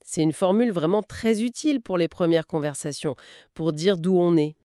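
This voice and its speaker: A woman giving a scripted language lesson, speaking slowly and articulating each phrase for learners to repeat. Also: lowish voice, dark tone, no room sound, clean studio sound. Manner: slow, clearly articulated, modelling phrases for repetition. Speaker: French teacher.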